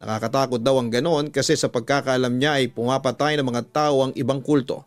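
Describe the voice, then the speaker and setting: A man with a Filipino accent narrating a scripted story as a radio host, measured and deliberate, drawing out the ends of phrases. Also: mid-range voice, steady tone, no echo. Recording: background music under a dry studio voice. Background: music.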